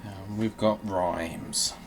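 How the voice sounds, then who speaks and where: A man's voice: low voice, fairly even intonation, drawn-out words. A man hosting a casual gaming let's-play, grumbling drily and mocking himself. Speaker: video game show host. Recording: clear background noise.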